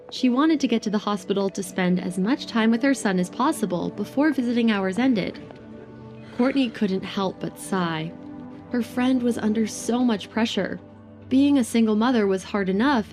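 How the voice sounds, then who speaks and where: A woman's voice: mid-range voice, a little mellow. A woman narrating a dramatic scripted story as an audio drama narrator.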